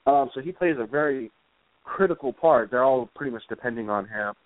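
A man giving casual, rambling interview answers as a guest author, speaking in a hesitant, halting way. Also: mid-range voice. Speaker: guest, comic book author. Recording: roomy, phone-like internet radio call.

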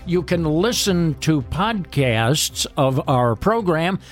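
A radio host with a slightly higher male voice reads his questions and segment intros at an unhurried pace. His delivery is measured and announcer-like, with faint music in the background. The sound is dry and close. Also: lively intonation.

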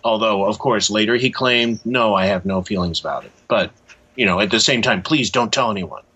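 Male guest, forceful and husky, thoughtful halting storyteller, heard through slightly muffled audio. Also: fairly low pitch. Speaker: interview guest, author. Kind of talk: conversational storytelling.

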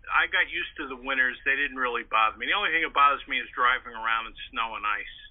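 A man's voice: medium pitch, quick pace. An older man from the Northeastern United States, an interview guest telling anecdotes casually and repeating words as he goes. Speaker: interview guest, writer and historian. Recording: tinny, band-limited phone line.

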